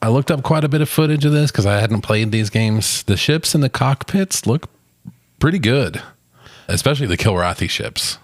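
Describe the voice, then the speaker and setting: A man's voice: medium pitch, lively intonation, normal speed, no room sound, clean studio sound. A man co-hosting a casual movie discussion, swearing freely and often chuckling. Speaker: podcast co-host reviewing films.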